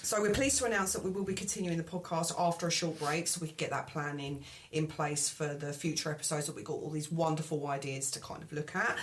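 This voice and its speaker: A woman's voice: sits low, fast talker, hardly any pauses, a little hiss or hum. Upbeat woman hosting a podcast. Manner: upbeat, encouraging. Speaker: podcast host.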